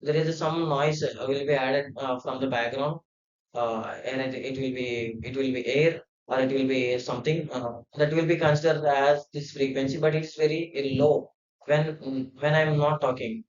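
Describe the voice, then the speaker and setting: A man's voice: medium pitch, fairly even intonation, normal speed. Hesitant man in a roomy, dull recording. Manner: hesitant, many fillers and restarts. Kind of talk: unscripted explanatory talk.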